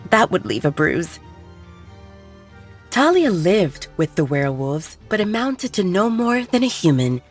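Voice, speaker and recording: Low-pitched woman narrating fiction expressively. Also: sing-song, measured pace, soft background music. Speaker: audiobook narrator. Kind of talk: scripted dramatic fiction narration.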